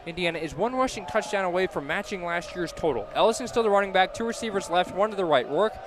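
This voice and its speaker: A man calling football play-by-play live on radio, building to excited calls on big plays. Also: high voice, fast talker, crowd noise. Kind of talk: live radio sports commentary. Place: live football game broadcast.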